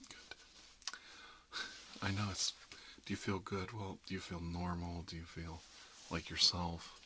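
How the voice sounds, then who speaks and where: A man acting as a chatty emergency dentist for monsters in an ASMR roleplay. His voice is very low yet bright and forward. He rambles hesitantly, slipping into half-whispers, over faint background noise. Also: little pitch movement, unhurried. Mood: reassuring, friendly. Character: chatty, friendly emergency dentist treating monsters, mildly bigoted. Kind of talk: ASMR roleplay, improvised one-sided conversation.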